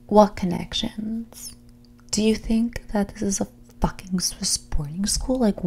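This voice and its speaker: A woman playing a mean, snobby rich young woman in a whispered and soft-spoken ASMR roleplay, talking in a breathy, condescending, bratty way. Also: medium pitch. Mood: scornful. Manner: condescending, bratty, dismissive, drawn-out casual phrasing. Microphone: close ASMR microphone.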